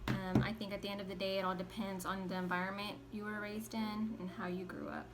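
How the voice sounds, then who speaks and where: Flat-voiced young woman in noise. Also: medium pitch, brisk. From Dallas, Texas. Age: 20s. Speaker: interviewee. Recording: noisy background ambience.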